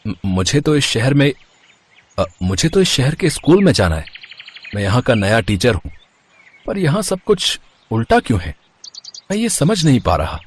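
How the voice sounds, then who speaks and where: A man's voice: medium pitch, sing-song, normal speed, pauses often, slightly rough. A man voicing an earnest male schoolteacher, the hero of a children's cartoon story. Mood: puzzled. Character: puzzled, confident young-ish male schoolteacher, cartoon hero.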